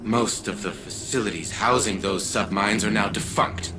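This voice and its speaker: Actor playing a deep, pompous old patriarch. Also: male voice, normal speed, noisy background, close to an everyday voice. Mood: grave, imperious. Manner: pompous, condescending, formal. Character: arrogant old patriarch scientist as an artificial mind. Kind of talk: video game voice acting. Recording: loud music under the voice.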